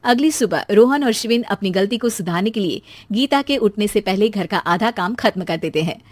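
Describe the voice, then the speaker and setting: A woman's voice: medium pitch, animated, normal speed, no echo. A woman narrating a scripted cartoon story as its storyteller.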